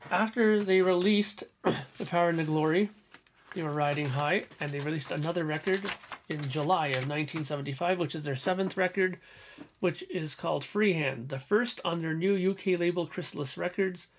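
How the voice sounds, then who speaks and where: Hoarse man, throat-clearing, gravelly voice. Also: fairly high pitch, moderate pace, slight background noise. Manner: enthusiastic, frequent throat clearing. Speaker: record collector vlogger. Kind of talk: casual record collection walkthrough. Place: small home music room, indoors. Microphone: headphones on, webcam or desk mic.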